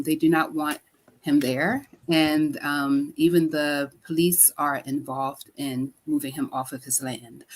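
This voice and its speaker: A middle-aged woman, a food justice educator, explaining her work earnestly in a live online interview, with frequent filler pauses. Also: low-pitched.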